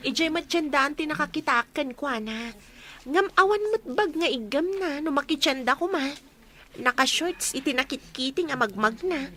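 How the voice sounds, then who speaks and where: A woman's voice: high voice, animated, normal speed, pushed and bright. A woman acting as a chatty young daughter in a radio comedy drama. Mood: chatty, teasing. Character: chatty young daughter talking with her mother.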